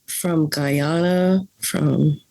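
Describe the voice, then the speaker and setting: A woman's voice: low-pitched, fairly even intonation, drawn-out words, creaky, mellow, poor audio. A young woman, a guest on a podcast, talking bluntly and laughing, swearing freely. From Salvadoran family, grew up in the Bronx. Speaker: podcast guest, coffee professional. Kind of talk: casual interview chat.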